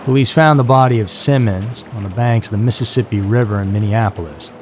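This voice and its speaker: A man's voice: on the low side, fairly quick, slight background noise. A man reading scripted true-crime narration as a narrator. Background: music.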